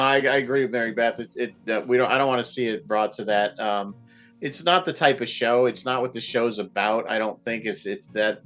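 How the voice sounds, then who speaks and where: Lowish-voiced male panelist, guitar music behind. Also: fairly bright tone. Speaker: panel discussion guest. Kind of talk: casual roundtable discussion.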